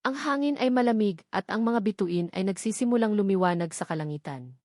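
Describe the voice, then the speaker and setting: A woman narrates a dramatic romance in a scripted storybook style. Her pitch sits in the middle range and her delivery is measured and sentimental. The sound is very dry, with no room on it. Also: lively intonation, a little mellow, no echo.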